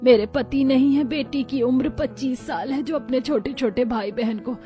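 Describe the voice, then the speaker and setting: A woman's voice: high-pitched, normal speed, mellow, soft background music, lightly acted. A woman voicing a poor blind mother in a cartoon story, humble, weary and plaintive. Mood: humble sorrow.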